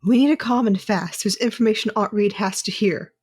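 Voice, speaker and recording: An actress playing a brisk, headstrong, loyal agent in a sci-fi audio drama. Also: female voice, medium pitch, darkish tone, husky, lightly acted.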